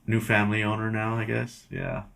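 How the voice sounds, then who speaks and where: A man's voice: on the low side, monotone, fairly quick, creaky, fairly bright tone. A man hosting a podcast, asking questions in an easygoing, friendly way. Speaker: podcast host. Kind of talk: casual interview.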